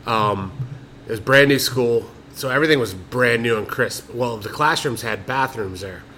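A man with a bright, forward tone chats casually on a podcast, spinning an offhand story and swearing without a second thought. Faint background noise sits under his voice. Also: mid-range voice, moderate pace. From Florida. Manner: casual storytelling with frequent profanity. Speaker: podcast co-host. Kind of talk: casual chat.

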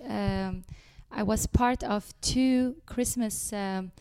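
A middle-aged woman from Lebanon with a Lebanese accent, chatting as a guest about her business, speaking haltingly with fillers and restarts. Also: medium pitch, darkish tone, smooth. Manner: halting, many fillers and restarts, nonstandard grammar. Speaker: guest, chocolate business owner. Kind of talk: casual interview chat.

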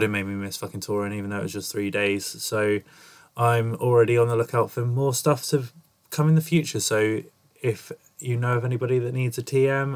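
A young man talks into a podcast mic, his voice stuffy and nasal from a cold. He rambles casually, swearing in passing, at a mid pitch. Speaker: podcast host. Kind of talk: casual podcast intro monologue.

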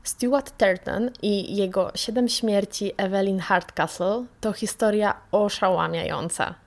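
A woman's voice: mid-range voice, fairly even intonation, unhurried. A woman presents a radio book review in an enthusiastic, conversational way as the host. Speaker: radio host recommending books.

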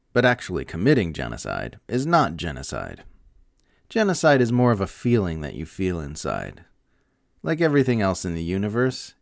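A man's voice: fairly low pitch, creaky, dry recording, clean studio sound. A man reading a scripted political opinion essay aloud in an emphatic, sardonic way. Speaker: narrator reading political commentary.

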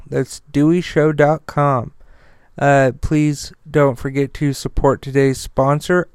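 A man presents informal podcast news and sponsor reads in a smooth, very clean voice that sits a little high. He stutters and stumbles, and his melody stays quite even throughout. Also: dry recording, clean studio sound. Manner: halting, stumbling delivery. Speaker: podcast host.

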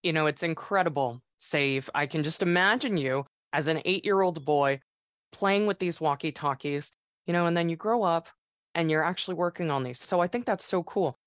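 A woman hosting an interview, asking questions in a warm, encouraging way. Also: low-pitched, moderate pace, no room sound, clean studio sound. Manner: warm and encouraging, complimenting the guest. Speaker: podcast host.

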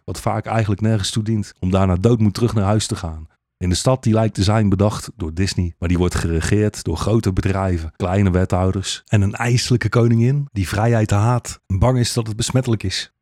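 A man's voice: on the low side, darkish tone, dry recording, clean studio sound. A man narrating a scripted satirical fairytale radio play in a sardonic, rhetorical manner.

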